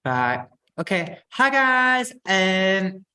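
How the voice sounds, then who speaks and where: A woman's voice: medium pitch, sing-song, drawn-out words, sharp tone. A woman, hosting a casual live interview in an informal, hesitant, rambling way. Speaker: host of a running channel.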